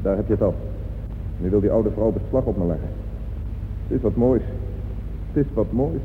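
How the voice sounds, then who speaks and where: An actor playing a brooding, sensitive composer in a radio play, with solemn, lyrical phrasing. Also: male voice, on the low side, lively intonation, normal speed, slight background noise, lightly acted. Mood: irritated. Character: brooding, sensitive male composer devoted to solitude and quiet. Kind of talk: old radio drama acting. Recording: dull old radio recording with music.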